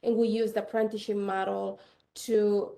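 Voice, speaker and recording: A woman with a Chilean Spanish accent answers a panel question in a warm, darker voice. She speaks unevenly, slowing and rushing, with frequent fillers. She sounds middle-aged. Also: medium pitch, little pitch movement, creaky. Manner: halting, with many fillers and uneven pace. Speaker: panelist, startup founder.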